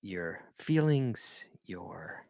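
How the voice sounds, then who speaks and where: An American man hosting a podcast, joking around in quick, lively banter with his guests. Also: deep voice, gravelly, dry recording, studio quality. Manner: lively, jokey, fast-paced. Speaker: podcast host.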